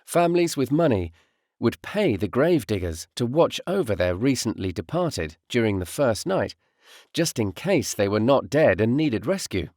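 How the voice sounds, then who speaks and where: A man reading a first-person literary audiobook in a measured, reflective way. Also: medium pitch, a little mellow, no room sound, clean studio sound. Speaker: audiobook narrator, voice artist.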